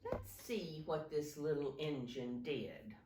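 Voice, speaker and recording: A woman reads a children's storybook aloud at an unhurried pace, her pitch rather low but swinging expressively. She speaks simply and deliberately to young listeners, a little distant from the microphone in a roomy space. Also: lively intonation, mellow. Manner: simple, deliberate phrasing addressed to young children, with asides to show pictures. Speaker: teacher reading a picture book to children.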